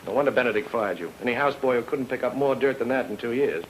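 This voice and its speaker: Man playing fast-talking, cocky radio detective, noisy recording. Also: mid-range voice, lively intonation, lightly acted. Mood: sardonic. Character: breezy wisecracking male private detective, cocky and sardonic. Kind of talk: film noir radio drama acting.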